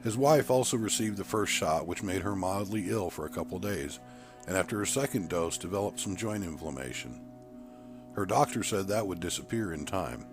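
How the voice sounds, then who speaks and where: Deep-voiced man reading a story over music. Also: normal speed. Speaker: narrator reading his own story. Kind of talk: scripted storybook narration.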